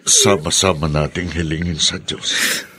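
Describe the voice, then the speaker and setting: An actor with a Filipino accent playing a gentle, concerned middle-aged uncle in a radio drama, speaking in a measured way. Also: male voice, very deep voice, steady tone, slight background noise, full character voice. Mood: solemn.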